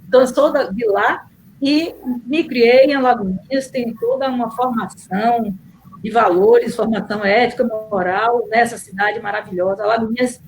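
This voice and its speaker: A middle-aged woman, speaking in a slightly high voice, warm and reminiscent, pausing and restarting often. A faint hum of background noise sits under her voice on a video call. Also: poor audio. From Bahia, Brazil. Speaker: guest, nurse and city councillor. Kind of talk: casual interview chat.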